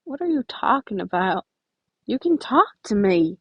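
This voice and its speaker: A woman's voice: mid-range voice, big pitch swings, a little slow, breathy edge, no room sound, muffled recording. A woman voicing a stern but caring teenage boy who is earnest and pleading in a story reading. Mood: soft, earnest.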